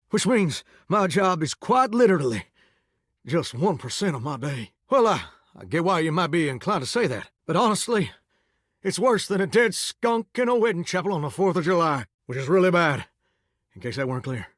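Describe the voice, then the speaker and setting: A man's voice: high voice, sing-song, moderate pace, a bit bright, no echo, full character voice. A man voicing a talking shower as a chatty, folksy cowboy charmer with a put-on Southern drawl, full of country sayings. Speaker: video game character. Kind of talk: game voice lines. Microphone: close studio mic. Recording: dry studio recording.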